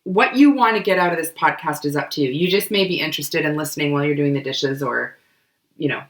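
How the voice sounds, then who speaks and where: Casual podcast co-host, a woman with a cold-roughened voice, a bit low, recorded in a roomy space. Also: fast. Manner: chatty, loose, many fillers. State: hoarse from a cold, coughing. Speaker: book club podcast co-host. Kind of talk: casual explanatory chat.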